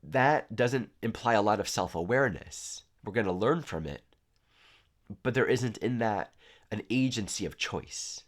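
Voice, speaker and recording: A man with a lowish voice gives a reflective astrology talk. He sounds congested and nasal. He speaks calmly and earnestly, and his phrases trail off softly. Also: a little slow. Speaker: astrology teacher. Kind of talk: reflective spiritual talk to camera.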